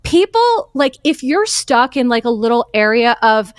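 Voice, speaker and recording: A woman's voice: a little high, sing-song, moderate pace, no room sound, clean studio sound. A woman hosting a podcast, talking in an earnest, emphatic way in casual conversation. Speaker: podcast host.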